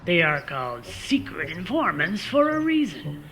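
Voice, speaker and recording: A man's voice: high voice, wildly swooping pitch, unhurried, fairly bright tone, soft background music, exaggerated voice. A man playing a bumbling, gullible secret agent in a comic radio play, sounding flustered and pompous. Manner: flustered, pompous, self-important. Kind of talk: comic radio theater acting.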